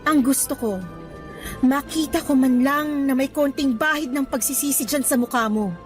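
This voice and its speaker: Radio drama casting: actress as stern young woman teacher, high voice, exasperated lecturing, soft music bed. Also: moderate pace, lightly acted. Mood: disappointed, reproachful. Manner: stern lecturing, code-switching into English. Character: firm, exasperated young female teacher confronting ex-student. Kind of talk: Tagalog radio drama acting.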